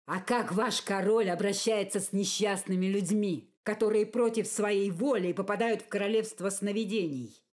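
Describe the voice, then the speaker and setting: A woman's voice: medium pitch, darkish tone, close to an everyday voice. A woman reading the part of a bold, hot-tempered youth in a storybook, in an emphatic, declamatory manner.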